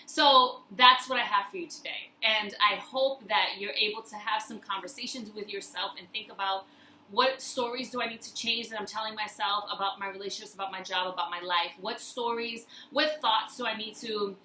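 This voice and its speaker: A woman's voice: medium pitch, fairly even intonation, moderate pace, pushed and bright. A woman hosting a motivational personal-growth video, speaking to the viewer emphatically and repeating phrases to drive points home. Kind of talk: motivational talk to camera. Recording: roomy echo, faint background noise.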